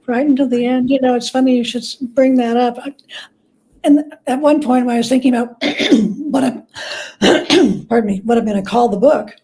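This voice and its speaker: A woman speaking as a guest author in a casual interview, gentle and agreeable in manner. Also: on the high side, moderate pace, slightly rough, rough recording.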